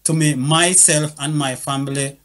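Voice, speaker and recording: A man's voice: fairly high pitch, fairly even intonation, normal speed, a bit bright. A man, a music producer, talking earnestly in a casual panel discussion and often repeating words as he searches for them.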